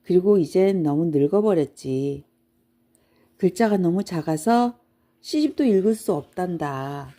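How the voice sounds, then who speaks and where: Woman plays ancient toothless giant for children's storybook; gruff, blustery, soft-edged tone. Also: on the low side, animated, a little slow. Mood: grumbling, wistful. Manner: blustery, exclaiming, grumbling.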